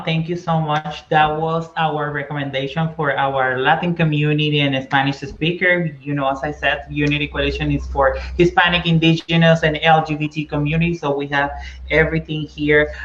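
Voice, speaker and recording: Host with a roomy, dull-sounding recording. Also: male voice, fairly high pitch, steady tone, measured pace, a bit bright. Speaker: talk show host. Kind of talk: hosting a bilingual talk show. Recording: echoey, dull lo-fi audio.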